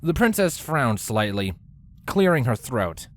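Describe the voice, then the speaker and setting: A man's voice: medium pitch, big pitch swings, unhurried, no room sound. A man reading scripted story narration as the narrator of a tale.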